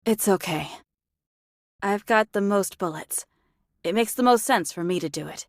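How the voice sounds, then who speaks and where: A woman's voice: mid-range voice, normal speed, no room sound, clean studio sound, lightly acted. An actress voicing a blunt, matter-of-fact young female sharpshooter in a video game, practical and understated. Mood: stoic, resigned. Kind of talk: dramatic game voice acting.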